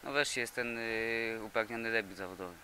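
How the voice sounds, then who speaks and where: A young man in his twenties with a bright, forward, slightly low-pitched voice, answering questions in a halting way with plenty of restarts. The sound comes from a handheld mic in a gym, with a faint hiss underneath. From Poland. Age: 20s. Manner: halting, many fillers and repeated words. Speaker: boxer. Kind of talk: interview answers. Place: indoor boxing gym. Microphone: handheld reporter mic.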